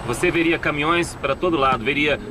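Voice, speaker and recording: A man voicing a show host in a dubbed documentary, narrating and asking questions in a measured, grave, explanatory way. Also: fairly high pitch, background noise, lightly acted. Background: background music.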